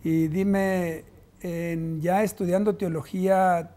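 A middle-aged man hosts a television interview, his voice set notably high for a man. He asks questions with repeated stammering restarts. A lapel mic on a studio set keeps him close and clean. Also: flat delivery, slow pace, warm tone. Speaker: host, university rector.

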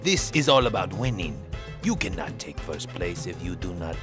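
Cartoon game role: vain, self-assured car, deep and animated, showing off. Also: male voice, normal speed, over music. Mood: boastful. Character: vain, showy, confident male car.

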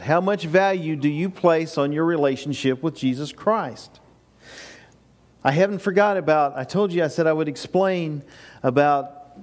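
High-voiced preaching older man. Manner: preaching with rising emphatic bursts and repeated phrases. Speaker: pastor. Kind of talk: sermon. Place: small church sanctuary with projection screen. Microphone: far camera, no mic seen.

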